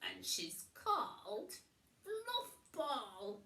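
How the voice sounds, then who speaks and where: Lady doing a gushy cooing sister from a kids storybook, dotes on her pet, slow and echoey. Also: on the high side, wildly swooping pitch, sharp tone, exaggerated voice. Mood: correcting. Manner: cooing, gushing.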